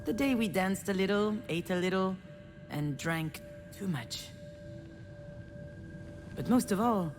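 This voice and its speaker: A woman's voice: fairly low pitch, lightly acted. An actress playing a frustrated, self-deprecating woman who narrates her family wounds in a confiding, bitter game monologue. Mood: wry. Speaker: visual novel protagonist. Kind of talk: dramatic first-person game narration.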